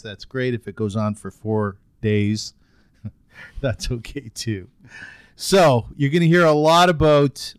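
A man's voice: medium pitch, smooth, no echo. A man hosting a podcast, speaking earnestly in casual chat, often repeating words as he starts sentences. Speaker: podcast host.

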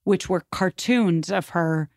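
A woman's voice: fairly low pitch, takes it slowly, creaky, darkish tone, dry recording, studio quality. A woman podcast guest telling history in an informal, conversational, explanatory way with plenty of filler words. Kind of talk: conversational storytelling about history.

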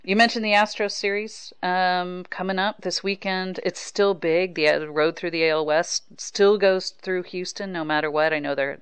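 Woman, American, sports podcast host. Smooth, clear delivery, conversational, very dry sound. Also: sits low, dry recording. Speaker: podcast host and baseball reporter. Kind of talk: casual sports interview chat.

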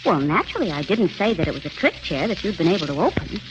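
An actress playing a spirited, quick-witted woman newspaper reporter in a 1940s radio drama. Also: on the low side, big pitch swings. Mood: conversational, self-assured. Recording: muffled old radio recording, faint noise.

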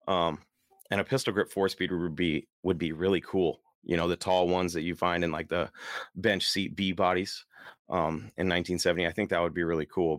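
Deep-voiced man, a bit hoarse, chatting casually. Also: fairly bright tone, no echo, studio quality. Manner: easygoing, chuckles often, rambling enthusiast. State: hoarse, worn-out voice. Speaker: host of a car enthusiast show. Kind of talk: casual solo chat to listeners.

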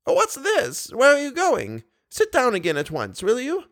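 A man's voice: very high voice, huge pitch swings, measured pace, a bit bright, pitched above the performer's own voice, exaggerated voice. A man reading aloud, voicing a kindly, bedridden old woman who speaks with simple, cheerful faith. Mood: earnest.

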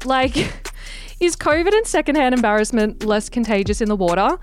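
Young woman podcaster, sharp-tongued and sarcastic, slightly high voice, faint music under her. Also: lively intonation, normal speed. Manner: sassy, sarcastic and irreverent, swears freely. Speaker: podcast host. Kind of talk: casual gossip podcast monologue.

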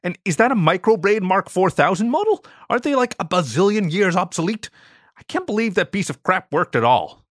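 A man voicing an impulsive young male alien who squeaks and rushes his words. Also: big pitch swings, no room sound, clean studio sound. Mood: excited. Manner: squeaky, high and eager.

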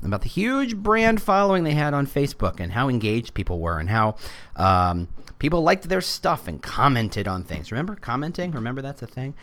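A middle-aged American man giving a casual explanatory monologue in an animated, rambling way, full of wry asides. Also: lowish voice.

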